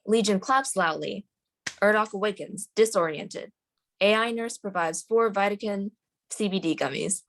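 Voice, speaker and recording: Woman narrating a screenplay, medium pitch. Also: normal speed, slight background noise. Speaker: narrator reading screen directions. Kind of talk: scripted narration at a table read.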